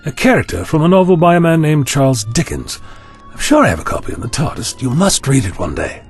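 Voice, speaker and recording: A man's voice: mid-range voice, sing-song, fairly quick, faint music behind. A man playing a courteous, wry time-travelling eccentric with lost memory, speaking formally in an audio drama. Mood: patient, explanatory. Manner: formal, wordy, inquisitive.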